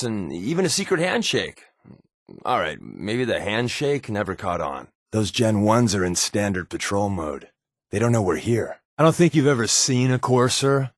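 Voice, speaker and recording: Game companion, wry secret agent. Lower-pitched, conversational, sardonic wisecracker who confides easily; dry studio sound. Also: male voice, dry recording. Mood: briefing, casual. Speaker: video game companion character. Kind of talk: conversational video game voice acting.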